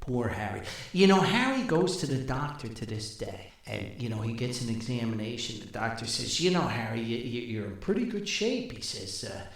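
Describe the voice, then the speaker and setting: A very roomy, echoing recording of an older man telling comic anecdotes as a podcast host. His pitch is mid-range and swings widely, with a creaky edge. He has a folksy manner and plays out each bit of dialogue as he goes. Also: sing-song, normal speed, few pauses. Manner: folksy, chuckles often, acts out dialogue. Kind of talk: humorous anecdotal storytelling.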